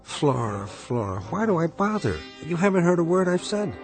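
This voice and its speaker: An actor playing a grumpy, penny-pinching older husband in a radio drama, exasperated, sarcastic and pompous. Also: male voice, fairly high pitch, big pitch swings, moderate pace, music in the background. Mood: exasperated, scornful.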